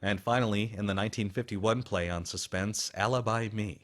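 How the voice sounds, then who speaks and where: Low-voiced male radio host. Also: brisk, heavy background noise. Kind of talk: scripted documentary narration.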